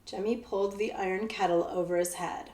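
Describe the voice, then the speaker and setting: A woman reading a children's storybook aloud as a librarian, with expressive narration. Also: medium pitch, a little fast. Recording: echoey room.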